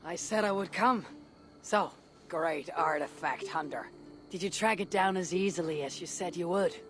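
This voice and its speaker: A woman's voice: lowish voice, moderate pace, over music, natural voice. An actress playing a blunt, impatient, skeptical female warrior in a video game, with a dry and curt delivery. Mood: dry, scornful. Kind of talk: video game character dialogue.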